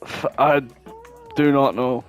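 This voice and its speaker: A man's voice: mid-range voice, steady tone, slow, faint music behind. A man chatting casually as a podcast co-host, informal and prone to swearing. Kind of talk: casual chat, guessing game.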